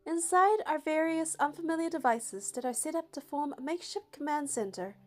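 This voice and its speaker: A woman narrating a game playthrough, reading the story text aloud in a steady, even way. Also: high-pitched, a little fast, soft background music.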